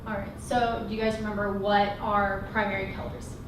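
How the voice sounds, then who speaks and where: A woman's voice: medium pitch, flat delivery, moderate pace, background noise. Encouraging woman teaching in a roomy classroom. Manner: upbeat, encouraging, explains step by step. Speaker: college communication instructor. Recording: roomy classroom recording from a distance.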